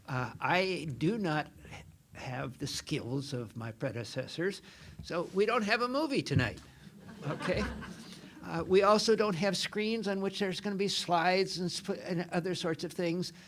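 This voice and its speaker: An older man, a Jesuit priest, giving an informal lecture in a soft voice, chatty and self-deprecating, often restarting his sentences. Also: sits high, lively intonation, unhurried, a little hiss or hum. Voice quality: soft-spoken. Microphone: microphone.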